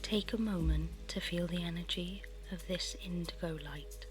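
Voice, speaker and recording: A woman guiding a meditation in a soft, breathy, soothing half-whisper, reading as scripted narration. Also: on the low side, moderate pace. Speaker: meditation guide. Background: meditation music.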